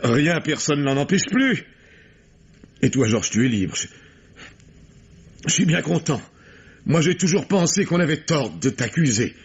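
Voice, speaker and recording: Tipsy self-pitying husband, husky low voice, hesitant rambling, muffled old radio. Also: male voice, lively intonation, measured pace, pauses often, heavily acted. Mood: rueful. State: has been drinking. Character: weary, drink-worn middle-aged husband, self-pitying. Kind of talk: 1950s French radio drama acting.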